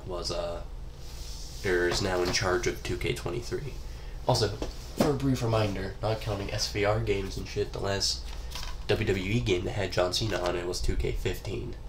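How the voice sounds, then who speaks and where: A young man talks through a head cold, his voice low and stuffed up. He rambles offhand about a game, loose and casual with the odd swear. A faint hiss sits under the recording. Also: moderate pace. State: congested from a cold. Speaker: gaming YouTuber. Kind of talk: casual solo gaming commentary.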